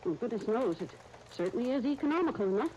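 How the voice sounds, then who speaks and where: An actress playing a brisk, opinionated, no-nonsense spinster, folksy and emphatic in 1930s radio style. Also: female voice, a little high, big pitch swings. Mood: tart, emphatic. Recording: hissy 1939 radio broadcast disc.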